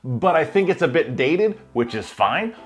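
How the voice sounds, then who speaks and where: A man's voice: fairly high pitch, very animated, moderate pace. A man co-hosting a film show, chatting casually and enthusiastically through a countdown list. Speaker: co-host of a movie review show. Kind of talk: casual movie countdown chat. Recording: music playing behind the talk. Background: music bed.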